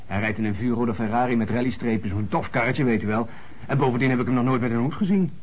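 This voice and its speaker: An actor playing a sporty, obliging but vague local witness in a Dutch radio play, husky-voiced, informal and hesitant. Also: male voice, medium pitch, fast, slight background noise, close to an everyday voice. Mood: chatty, frank.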